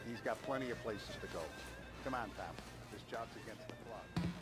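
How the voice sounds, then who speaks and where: An actor playing a casual, teasing American gangster in a video game, breezy in delivery. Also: male voice, mid-range voice, normal speed, natural voice. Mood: brisk. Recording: loud music over dialogue.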